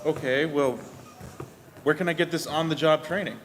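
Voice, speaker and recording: A man's voice: fairly high pitch, steady tone, normal speed, background noise, close to an everyday voice. An actor playing an earnest wrestling-obsessed man of twenty who talks in a hesitant, eager way. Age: 20s. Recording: long hall reverb.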